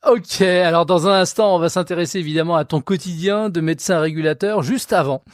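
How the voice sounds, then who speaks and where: Man, podcast host, high pitch, clear announcer tone, dry sound. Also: a little fast, no echo. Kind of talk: scripted intro and interview questions.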